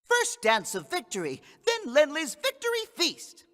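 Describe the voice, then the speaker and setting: Squeaky, excitable little creature. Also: female voice, a little slow, exaggerated voice. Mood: gleeful. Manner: third-person broken grammar, stammering, squeaky. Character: small excitable creature sidekick, childlike, easily panicked. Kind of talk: comic video game character acting. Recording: reverberant hall sound.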